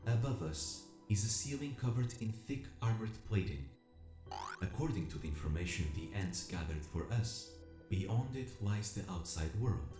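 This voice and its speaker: A man voicing a vast collective machine intelligence in an audio drama, narrating in a solemn, measured, formal and coldly analytical manner. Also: lowish voice, lightly acted. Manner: measured, formal, speaking as a plural we. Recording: roomy echo with music behind.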